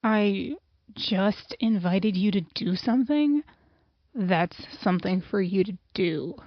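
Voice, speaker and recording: A woman's voice: medium pitch, slow, no echo. A playful teenage girl, played by a woman, coaxing her friend in an everyday mid-range voice. The recording is dry and somewhat muffled. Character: teasing teenage friend Georgie.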